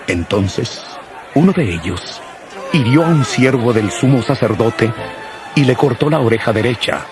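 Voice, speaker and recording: A man narrating scripture from a script in a solemn, measured manner. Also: mid-range voice, expressive, a crowd in the background. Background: music.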